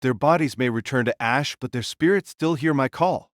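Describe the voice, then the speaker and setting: A man's voice: medium pitch, moderate pace, no echo, studio quality, close to an everyday voice. A man voicing a proud, commanding warrior king in an audio drama, with grandiose, declamatory delivery. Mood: triumphant, proud.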